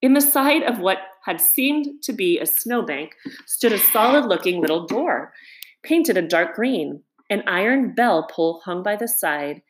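Female storybook narrator, expressive, with a medium-pitched voice and lively, varied intonation. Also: measured pace, poor audio. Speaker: storybook reader.

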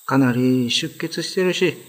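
A man's voice: mid-range voice, unhurried, close to an everyday voice. A man voicing a timid, kind-hearted prison guard in a radio drama, hesitant, humble and plain-spoken. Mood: gentle concern.

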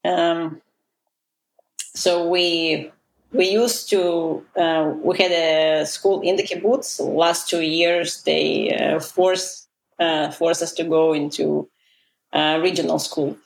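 Woman, lowish voice, gravelly edge, hesitant, lots of ums and restarts. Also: fairly even intonation, slow pace, slight background noise, rough recording. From Israel. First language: Russian. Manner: hesitant, many fillers and restarts. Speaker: guest. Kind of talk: casual interview talk.

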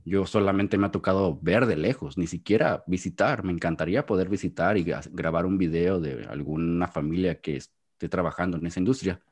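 A man's voice: sits low, brisk, few pauses, a little mellow, husky. A man with a Jalisco Mexican accent, a podcast guest in a casual interview who talks at length and often restarts his words, recorded over a video call. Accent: Mexican Spanish, Jalisco. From Guadalajara, Mexico.

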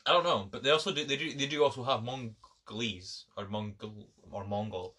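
A teenage Scottish boy with a bright, forceful voice, chatting and teasing his friends as a podcast co-host. He jokes around and stumbles over his words as he talks. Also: mid-range voice, a little fast, husky. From Scotland. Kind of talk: casual chat among friends.

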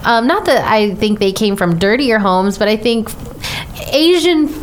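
Animated woman telling a funny story. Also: on the high side, a little slow, slight background noise. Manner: animated, acts out quoted voices. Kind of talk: comic storytelling.

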